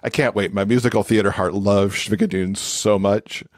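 A man with a fairly low voice chats as a podcast guest. He is casual and enthusiastic about what he describes. The recording is dry and free of room sound. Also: steady tone, normal speed, no room sound. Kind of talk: casual chat.

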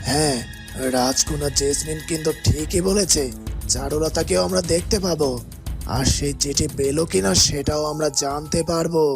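A calm, assured young schemer. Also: male voice, fairly high pitch, normal speed, gravelly, over music. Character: young man plotting with letters.

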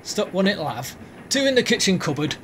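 An actor playing a brash, teasing young football fan with a Northern English accent in a radio play. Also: male voice, high-pitched, big pitch swings, a little fast, fairly bright tone. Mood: cocky. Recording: faint vehicle noise behind.

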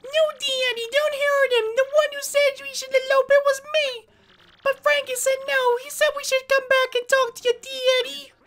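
Squeaky falsetto mobster's daughter. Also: male voice, level tone, normal speed, a bit bright, a little hiss or hum. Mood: pleading. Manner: pleading, melodramatic. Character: whiny, pleading young daughter of a mobster.

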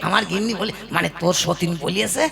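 A man's voice: high voice, fairly even intonation, quick pace, gravelly. A man playing an ageing, scheming troupe-master husband in a comic puppet play, coaxing with exaggerated sweet talk. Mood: bold, boastful.

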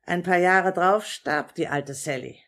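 An actress playing a shrewd, grasping wife in a 1950s German radio drama, measured, firm and sly. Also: female voice, on the low side. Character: shrewd, grasping, businesslike married woman.

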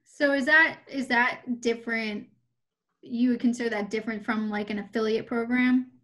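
Woman, thirties, slightly high voice, coaching client in a casual video call conversation. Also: steady tone, moderate pace, a bit bright. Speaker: entrepreneur being coached. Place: indoors at home on a video call.

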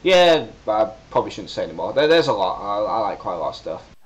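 A young man with a lowish voice talks into a webcam in his living room. He lists favorites eagerly, pausing to think aloud between items. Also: sing-song, poor audio. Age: young adult. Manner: enthusiastic listing, thinking aloud. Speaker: fan voice actor. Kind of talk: casual webcam interview answer.

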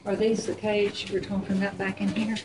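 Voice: medium pitch, level tone, a little fast. A visitor on a cave tour, asking the guide curious questions. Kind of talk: casual questions. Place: inside a large echoing cave. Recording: echoey cave, noisy.

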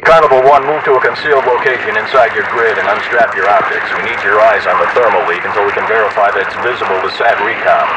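Low-voiced soldier played by a man over noisy radio. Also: steady tone, fast talker, few pauses, lo-fi sound. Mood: businesslike. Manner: procedural, terse, uses call signs. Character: calm male soldier on military radio net. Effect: military radio filter. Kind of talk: clipped military radio chatter. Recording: noisy, distant military radio transmission.

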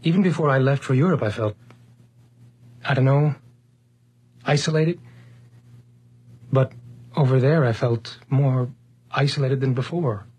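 A man's voice: medium pitch, measured pace, pauses often, darkish tone, husky. An actor playing an anxious, fragile man recovering from a breakdown in a 1980s radio horror drama, with a hesitant, earnest delivery. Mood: earnest, grateful. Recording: noisy, humming old radio broadcast recording.

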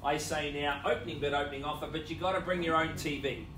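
A middle-aged New Zealand auctioneer runs a live property sale, mixing rhythmic bid calls with quick jokes. His voice sits a little high for a man. The sound is roomy and distant, picked up by a camera across a big indoor room with faint noise behind him. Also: steady tone. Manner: showy, joking patter with bid chants.

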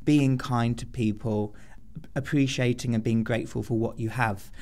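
A man's voice: medium pitch, fairly even intonation, normal speed, darkish tone. A male student giving earnest, considered answers as an interview guest.

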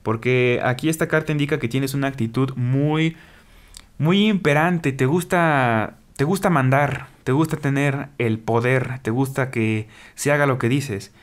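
A man's voice: mid-range voice, unhurried. A man giving an earnest tarot reading, repeating his advice and pleading directly with his viewers. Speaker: tarot reader. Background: soft background music.

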